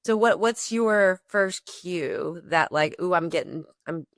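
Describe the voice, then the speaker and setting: Low-voiced woman explaining with examples. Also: takes it slowly, no room sound, clean studio sound. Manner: explains with everyday examples, talks in long building sentences. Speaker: podcast guest, company co-founder. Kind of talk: conversational explaining.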